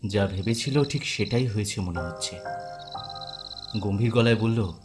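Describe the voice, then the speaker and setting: A man's voice: lowish voice, a little slow. A man narrating a scripted horror audio story in a dramatic style. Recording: music bed behind.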